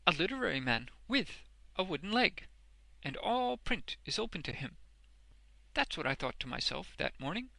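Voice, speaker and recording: A man's voice: a little high, sing-song, moderate pace, creaky, pushed and bright, no echo, voice pushed up, heavily acted. A man voicing a hearty, uneducated old working man in a storybook, blunt and exuberant, with emphatic, ungrammatical speech. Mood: admiring.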